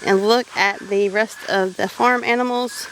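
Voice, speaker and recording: A woman's voice: medium pitch, steady tone, unhurried, fairly bright tone, poor audio. A Southern American woman homesteader, walking around her farm outdoors and giving plain, practical advice in a casual, instructive way. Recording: outdoor farm recording with noise.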